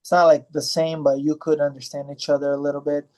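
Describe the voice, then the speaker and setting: A man's voice: fairly high pitch, flat delivery, fast talker, darkish tone. A man in his thirties from Brazil, a fighter answering interview questions in a loose, rambling way with many fillers and restarts. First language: Portuguese.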